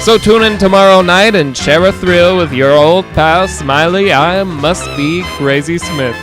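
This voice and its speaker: A man's voice: high-pitched, measured pace, over music. A man playing a chummy, showy 1940s radio disc jockey with teasing, chatty patter in an audio drama. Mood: chummy, excited.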